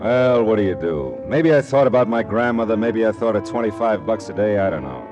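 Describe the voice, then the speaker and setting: Hard-boiled American private eye, deep-leaning voice, music underneath, wry, terse, vintage radio drama. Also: male voice, very animated, a little fast, dull audio. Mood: wry, leisurely. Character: hard-boiled, world-weary male private detective, wry. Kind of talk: 1940s radio drama acting, first-person narration.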